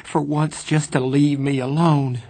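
A man voicing a badly injured, frightened sixteen-year-old street boy in an audiobook, weak and breathy, with halting, slangy speech. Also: medium pitch, a little mellow, dry recording, pitched above the performer's own voice. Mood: distraught, pleading. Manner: halting, drawling working-class slang.

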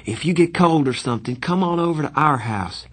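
Audiobook role: laid-back teen pal, male narrator acting, casual, husky, pitched a little up. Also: lively intonation, brisk. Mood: drowsy, casual.

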